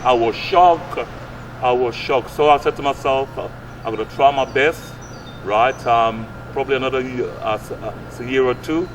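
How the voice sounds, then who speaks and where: A man's voice: mid-range voice, normal speed. Man in his late sixties, earnest community coach, casual talk, slightly distant handheld mic, street noise. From Guyana. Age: late 60s. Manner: earnest, repetitive, punctuates with "right?". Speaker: youth fitness coach and community mentor. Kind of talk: casual interview answers. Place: outdoors on an urban street by brick flats. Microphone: handheld microphone held at chest.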